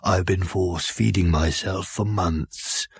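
A man voicing a courteous fat bovine creature that offers itself as the dish of the day, in a slow, ingratiating way with a husky voice. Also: low voice, steady tone, no echo, exaggerated voice. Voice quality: gravelly and husky.

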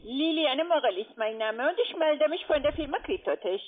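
A woman with a foreign accent giving a phone sales pitch as a call center agent, pushy and blunt. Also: animated, moderate pace. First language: not German. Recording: tinny narrow phone line.

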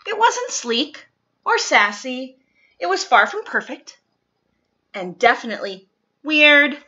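A woman reads a children's picture book aloud with expressive, deliberate dramatic emphasis, recorded in a living room. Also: sits high, dull audio. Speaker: storytime reader.

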